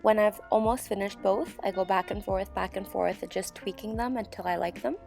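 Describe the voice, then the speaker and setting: A young woman, hoarse and sick with a sore throat, calmly talking through a beauty tutorial as a voiceover. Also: medium pitch, normal speed, soft background music. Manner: calm step-by-step instruction. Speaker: beauty channel host. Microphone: voiceover mic.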